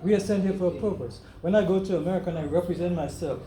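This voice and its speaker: An older man with a Trinidadian accent speaks earnestly to his gathered family, leaning on repeated phrases like a sermon. His voice sits high. The room is echoey, with loud noise behind him. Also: racing. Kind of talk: impassioned speech at a family gathering. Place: home gathering after a funeral service.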